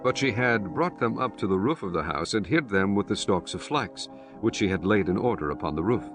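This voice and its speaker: Solemn low-voiced male narrator. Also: animated, fairly quick, music in the background. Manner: solemn, formal. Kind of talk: solemn scripted scripture narration.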